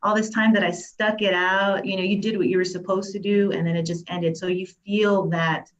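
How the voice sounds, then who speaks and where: A woman's voice: mid-range voice, level tone, moderate pace, dull audio. A woman coach on a panel, speaking reflectively from personal experience. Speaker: life coach guest. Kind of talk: panel discussion.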